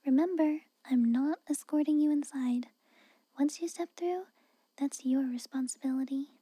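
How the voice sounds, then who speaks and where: A woman performs a haughty, guarded castle maid for an ASMR fantasy roleplay. Her high whisper is soft, breathy and extremely mellow, recorded close and dry. She speaks haltingly, cautioning the listener while grudgingly hiding that she cares. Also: no room sound, close to an everyday voice. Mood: cautioning, stern. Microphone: close microphone.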